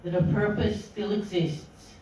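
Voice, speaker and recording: A woman reading poetry deliberately, pausing phrase by phrase. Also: mid-range voice, little pitch movement, warm tone, a little hiss or hum. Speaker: poetry reader. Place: indoor literary meeting room. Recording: echoey room from far off.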